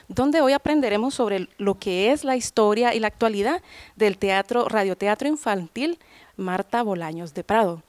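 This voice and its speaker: A woman's voice: on the high side, no room sound. Middle-aged woman presenting, close dry sound. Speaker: TV host and interviewer. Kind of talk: on-location interview presenting. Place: large tiled hall indoors, group seated behind. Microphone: handheld microphone.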